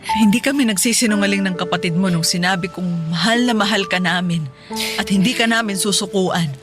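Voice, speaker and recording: A woman's voice: mid-range voice, brisk, lightly acted. Woman acting reassuring middle-aged mother, music behind. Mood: tender, earnest. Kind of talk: Tagalog radio drama acting.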